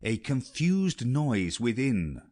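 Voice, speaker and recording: A man's voice: medium pitch, very animated, slow pace, darkish tone. A man narrating an audiobook play, reading out the stage directions.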